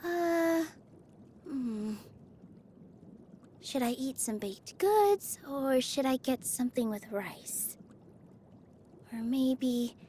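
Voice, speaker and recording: A woman voicing a gentle, sunny young dancer in a video game, earnest and encouraging, with a sweet, coaxing manner. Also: high voice, slight background noise. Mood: flustered, then friendly. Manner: sweet, soft-hearted, bubbly, coaxing. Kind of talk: scripted video game character lines.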